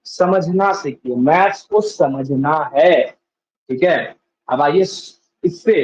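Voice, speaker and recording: A man with a high voice teaches maths, walking through each step by asking a question and answering it himself. He says the key points more than once. The sound is dull and lo-fi, with an echo from the room. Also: a little mellow. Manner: asks and answers his own questions, repeats steps. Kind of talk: classroom maths lesson.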